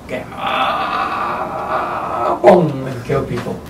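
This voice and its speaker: Older Taiwanese-accented man in roomy, distant recording. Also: mid-range voice. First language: Chinese. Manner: emphatic, repeats short phrases, halting non-native English. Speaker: kung fu teacher being interviewed. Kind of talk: opinionated interview talk. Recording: roomy, distant mic, faint background noise.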